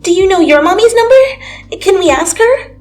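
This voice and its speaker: A woman voicing an excitable, chatty, insistent second-grade girl in a dramatic story reading. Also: very high voice, moderate pace, voice pushed up. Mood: excited, curious. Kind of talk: dramatic fan fiction reading. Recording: music under the voice.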